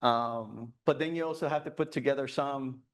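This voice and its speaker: A man speaking at a club meeting in a loose, conversational way, often stopping and restarting with fillers. His voice is smooth and clear at a middle pitch. It sounds like a relaxed talk in a meeting room. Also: moderate pace. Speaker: guest speaker at a photography club. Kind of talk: presentation with audience questions.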